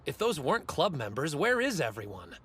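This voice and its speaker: A man's voice: a little high, huge pitch swings, a little fast, a little hiss or hum, full character voice. A man voicing a short, stubborn teenage sumo wrestler in an English anime dub, earnest and blunt. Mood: puzzled. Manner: earnest, blunt, stern about respect. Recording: dub with loud music score.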